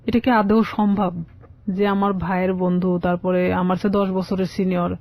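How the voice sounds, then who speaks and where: A woman's voice: fairly low pitch, little pitch movement, normal speed, dry recording. A woman telling her personal story conversationally as a radio guest, often quoting both sides of conversations. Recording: slightly dull lo-fi radio audio.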